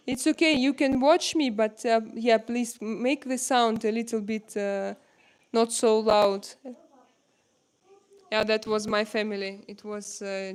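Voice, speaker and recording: A woman with a Russian accent and Russian as her first language, hosting a casual live-stream chat at home and pausing often to search for words. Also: medium pitch. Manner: halting, searching for words with many pauses.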